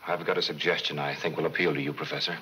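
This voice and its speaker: An actor playing a hard-nosed, cool-headed renegade police detective, terse and firm, in 1940s film serial style on an old soundtrack. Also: male voice, very deep voice, little pitch movement, rapid-fire, sharp tone, a little hiss or hum, poor audio. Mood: coolly persuasive.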